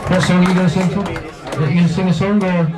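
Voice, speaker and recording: Teasing physio over a noisy crowd. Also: male voice, high voice, level tone, very fast. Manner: joking, teasing presenter. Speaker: team physio presenting ties. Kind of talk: post-match presentation. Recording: distant mic with loud crowd.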